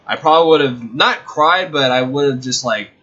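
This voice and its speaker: A young man hosting a video, telling a personal story casually in a halting, rambling, self-correcting way. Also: mid-range voice, pushed and bright, muffled recording. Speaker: video host.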